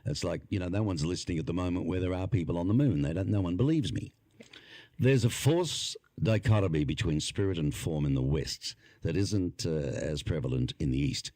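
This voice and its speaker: A man's voice: sits low, expressive, a little fast, a little mellow, no room sound, clean studio sound. A man hosting a podcast interview, rambling in casual chat and putting questions to his guests. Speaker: podcast host.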